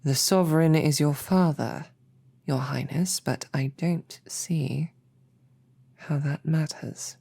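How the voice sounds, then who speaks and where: A woman playing a snarky, devoted female knight, stammering and flustered, often dropping to soft whispers. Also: sits low, slow, creaky, slightly rough. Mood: earnest. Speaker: audio drama performer. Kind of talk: romantic audio roleplay.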